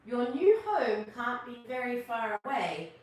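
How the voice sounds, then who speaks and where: A woman's voice: fairly high pitch, big pitch swings, measured pace, darkish tone. A woman voicing a wise, kindly male owl who leads the forest birds in a children's storybook, thoughtful and reassuring. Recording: distant, very echoey, faint noise.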